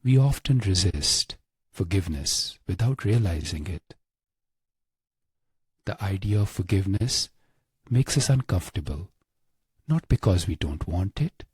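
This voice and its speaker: A man narrating a guided meditation in a hushed, measured way with long pauses. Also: low-pitched, slightly rough, no echo, clean studio sound.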